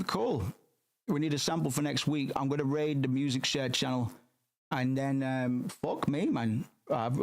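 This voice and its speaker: A hoarse man rambling casually on a live stream, swearing often and tagging "man" onto his sentences. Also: medium pitch. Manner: rambling, profane, keeps saying "man".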